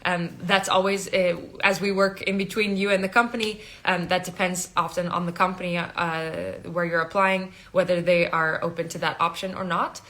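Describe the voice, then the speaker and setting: Bright American-Dutch woman fielding questions. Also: lowish voice, little pitch movement, normal speed, few pauses. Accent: American with Dutch tinge. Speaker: recruitment agency staff hosting a live Q&A. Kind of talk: informal explanatory live Q&A.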